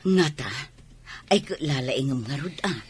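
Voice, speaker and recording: An Ilocano-accented woman playing a stern, scolding village mother with a husky, forceful delivery in a radio drama. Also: very deep voice, expressive, unhurried, full character voice. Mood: worried motherly.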